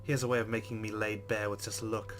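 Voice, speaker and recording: A man's voice: fairly low pitch, level tone, fast, a little hiss or hum. A man playing a visual novel, reading its narration and adding wry, amused asides. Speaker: let's play gamer. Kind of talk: reading game text and reacting.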